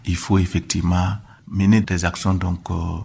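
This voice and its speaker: A man's voice: deep voice, flat delivery, moderate pace, vocal fry, mellow, breathy edge. A man, an official explaining things formally in an interview.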